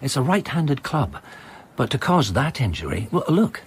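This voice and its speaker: An actor playing an observant, doubting amateur sleuth in a radio play, reasoning in a measured way. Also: male voice, mid-range voice, sing-song, slight background noise. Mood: measured, sceptical. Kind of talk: dramatic radio play acting.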